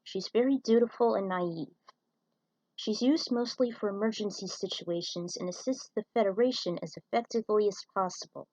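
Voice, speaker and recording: A woman's voice: medium pitch, moderate pace, a little mellow, no room sound, dull audio. A woman reading a scripted story aloud as its narrator, with the odd stumble over a word. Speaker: narrator reading fan fiction.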